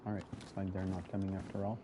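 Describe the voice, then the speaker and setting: Low-voiced French Canadian man chatting over gameplay. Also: little pitch movement, fast, noisy background. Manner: chatty and rambling, often restarting words, talks straight to viewers. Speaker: gaming video host. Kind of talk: casual gameplay commentary.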